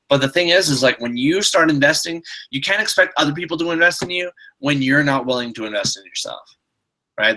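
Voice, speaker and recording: A man's voice: medium pitch, sharp tone, rough recording. A man with a stuffed-up, slightly nasal voice, giving a motivational coaching talk on a video call and often restarting his sentences. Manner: repetitive, restarting, pep-talk tone. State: stuffed-up nose. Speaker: online marketing coach.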